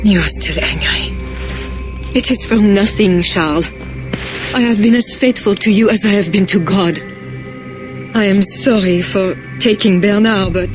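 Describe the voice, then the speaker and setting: Pious noble queen, voiced by a woman. Soft and earnest, medium pitch. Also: unhurried, pauses often, music in the background, close to an everyday voice. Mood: pleading. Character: pious, gentle noblewoman estranged from her husband. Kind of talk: video game cutscene acting.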